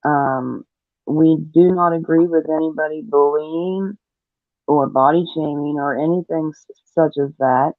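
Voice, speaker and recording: A man speaking deliberately as a panelist on a live discussion, over narrow audio. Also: on the high side, fairly even intonation, dry recording. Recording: narrow phone-like audio, very dry.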